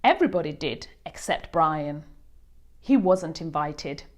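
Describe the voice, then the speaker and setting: A woman hosts a read-aloud for young children. She takes her time with each phrase and lets her pitch move in a lively, expressive way. She speaks directly to little readers as she narrates the storybook. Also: unhurried.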